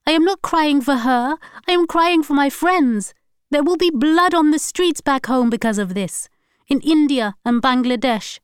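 A woman reading a story aloud, voicing a furious wife and mother who shouts and screams at her husband. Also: lively intonation, a little slow, dry recording, studio quality. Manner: shrill, screaming.

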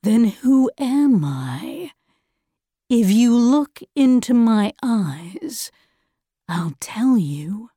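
A woman voicing a sinister, taunting man who whispers slowly and patiently in a storybook reading. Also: fairly high pitch, sing-song, warm tone, no echo, studio quality, heavily acted. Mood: menacing calm. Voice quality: breathy, whispering. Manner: slow, drawn-out, taunting. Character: sinister, patient, whispering man with red eyes, mind-controlling.

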